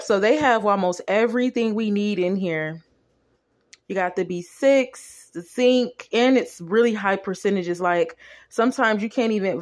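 A woman talking casually and colloquially in a home food vlog, filming her dinner on a phone at a kitchen counter. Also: medium pitch. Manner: chatty, down-to-earth, colloquial. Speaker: vlogger.

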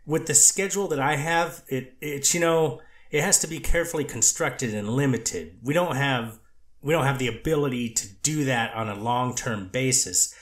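A man's voice: mid-range voice, moderate pace, fairly bright tone, reverberant, close to an everyday voice. A man reading the part of a treatment counselor testifying in court, answering carefully with hesitant restarts. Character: male treatment counselor witness, hedging and careful. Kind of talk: readers theater courtroom reading.

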